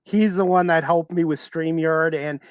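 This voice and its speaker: Middle-aged man, fairly high voice, earnest chatty podcast host, casual delivery, dry close sound. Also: fairly even intonation, normal speed, clear, resonant tone, no echo. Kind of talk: casual interview chat.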